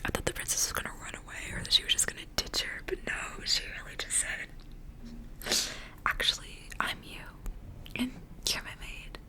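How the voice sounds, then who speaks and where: A woman doing ASMR, reading a story aloud in a soft, breathy whisper. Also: normal speed, low-quality recording.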